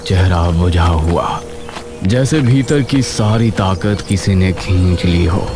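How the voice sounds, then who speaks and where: A man narrating a scripted horror cartoon story in a slow, dramatic way. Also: sits low. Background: background music.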